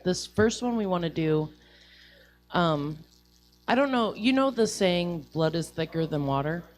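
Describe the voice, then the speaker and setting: A woman's voice: on the low side, expressive, a little slow, a little hiss or hum. A woman musician talking casually as a guest on a show and introducing songs.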